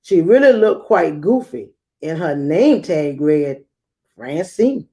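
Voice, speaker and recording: A woman reading a rhyming children's picture book aloud, acting it out with theatrical emphasis and dramatic pauses. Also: sits low, sing-song, dark tone. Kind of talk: expressive rhyming storybook read-aloud.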